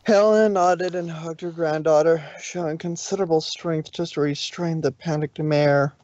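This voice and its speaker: A man's voice: on the high side, steady tone, normal speed, a little mellow, no echo, dull audio. A man reading a story aloud as amateur narrator, sometimes stumbling over the words.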